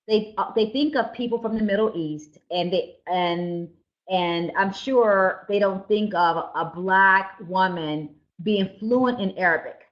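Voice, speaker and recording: Middle-aged woman on a lo-fi call. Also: mid-range voice. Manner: warm, searching questions with restarts. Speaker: interviewer and minister. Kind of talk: video call interview. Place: indoors, room with red wall. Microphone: video call webcam. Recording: slightly dull lo-fi webcam audio.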